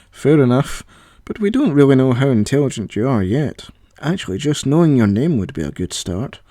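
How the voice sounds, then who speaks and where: A man's voice: medium pitch, sing-song, normal speed, dark tone, no room sound, studio quality. A man voicing a proud young boy inventor, easily irritated, in an audio story. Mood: teasing.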